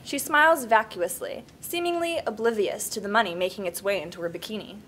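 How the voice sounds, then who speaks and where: A woman's voice: medium pitch, brisk, a bit bright. A woman reading scene headings and stage directions as the narrator at a script table read. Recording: table read with background noise.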